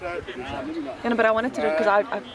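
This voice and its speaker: Young man, high voice, South African English accent, easygoing, explains at length, loud crowd outdoors. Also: lively intonation, moderate pace. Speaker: teacher, spectator. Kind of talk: casual sideline chat. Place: outdoor sports field.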